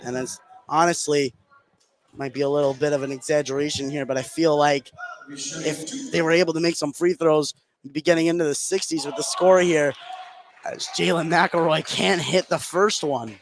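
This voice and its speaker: A man's voice: fairly high pitch, steady tone, normal speed, crowd noise, poor audio. An American man doing live play-by-play basketball commentary on radio.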